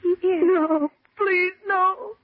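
A woman acting a frightened young woman trapped in the dark and growing delirious, in a slow, halting 1940s radio horror style. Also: very high voice, fairly even intonation, highs cut off. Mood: terrified, pleading. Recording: thin band-limited old radio recording.

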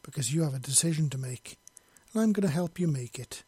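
A mysterious woman in a fantasy audio story, put on by a man. The voice is lifted and a little husky, playful and gracious with complete assurance, in a dry recording. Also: a little high, expressive, fairly quick, dark tone, no room sound. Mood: playful, assured. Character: mysterious, playful, confident beautiful dark-haired young woman.

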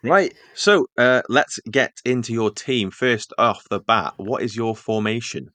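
A man hosting a casual football podcast, asking questions and tossing in quick jokes. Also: mid-range voice, sharp tone, no room sound. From Wales. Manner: quick, joking asides. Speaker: podcast host. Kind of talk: casual chat.

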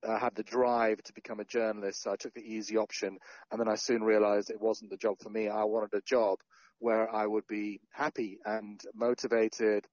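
A man's voice: on the low side, moderate pace, dry recording, muffled recording. Dry-voiced man in his forties, joking. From Sri Lanka and North Wales. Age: 40s. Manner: relaxed, self-deprecating, joking asides. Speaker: podcast guest, journalist and founder. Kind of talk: casual interview conversation.

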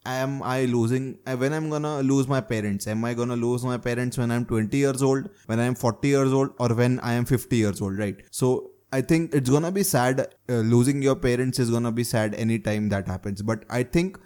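Young man, host, casual discussion, probing questions, mid-pitched voice. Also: a little fast, few pauses, darkish tone, smooth. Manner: probing, keeps adding "right?" checks.

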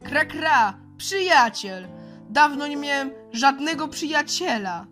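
A woman voicing a good-hearted crow-like bird in a children's audio play, croaking hoarsely and punctuating speech with caws. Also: high-pitched, animated, slow, a bit bright, soft background music, heavily acted. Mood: cheerful.

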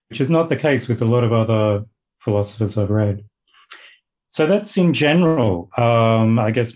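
A man with an Australian accent, a philosophy lecturer speaking as a guest in a casual interview, thoughtful and measured with occasional fillers. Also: on the low side, darkish tone.

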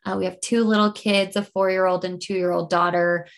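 A woman's voice: fairly low pitch, level tone, moderate pace. Upbeat woman coach from Utah. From Utah, USA. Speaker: guest, leadership coach. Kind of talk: casual interview answers. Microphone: video call.

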